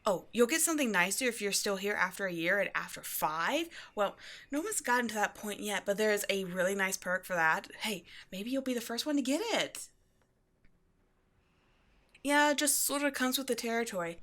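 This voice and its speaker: A woman's voice: medium pitch, expressive, moderate pace, a bit bright, close to an everyday voice. Woman acting breezy, chatty occult shop owner. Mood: chatty, upbeat. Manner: chatty, breezy, offhand about eerie things. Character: upbeat, quirky young woman running a mysterious occult shop. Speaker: shop owner character speaking to a new employee. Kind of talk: ASMR roleplay, casual one-sided conversation.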